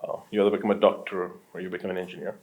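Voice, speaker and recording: Low-voiced man rambling through an interview. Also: flat delivery, rapid-fire, a little mellow. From Qatar. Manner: rambling, many restarts and fillers, laughs easily. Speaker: entrepreneur guest. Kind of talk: casual interview conversation.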